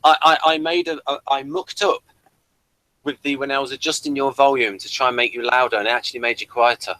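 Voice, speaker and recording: A man's voice: medium pitch, steady tone, fast talker, sharp tone, dry recording, low-quality recording. A man hosting a casual review discussion, speaking in a hesitant, rambling and apologetic way. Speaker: host of a TV review show.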